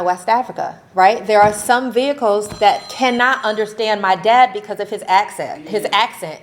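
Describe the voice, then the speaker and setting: Animated woman speaking on a panel. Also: medium pitch. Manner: animated, laughs often, ends points with "right?". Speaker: faith-based advocacy panelist. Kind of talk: conversational panel talk. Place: convention session room.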